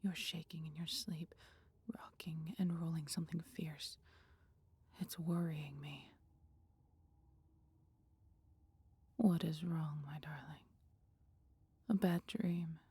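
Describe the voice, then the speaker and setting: A woman voicing a caring, protective female dragon in an intimate, breathy ASMR roleplay, with gently formal, old-fashioned speech. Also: low voice, steady tone, slow, no room sound, exaggerated voice. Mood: worried, tender. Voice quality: breathy, whispery.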